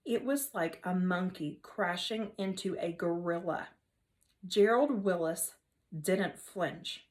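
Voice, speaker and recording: A woman teacher reading a children's novel aloud in an expressive storytelling manner. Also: fairly low pitch, takes it slowly. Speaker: third grade teacher.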